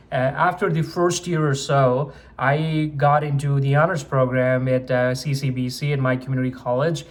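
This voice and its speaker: An earnest young man from Nepal speaks to his channel about his own path, at a middle pitch. He talks steadily, pausing on fillers and restarting his sentences. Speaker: medical student vlogger. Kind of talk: personal story told to camera.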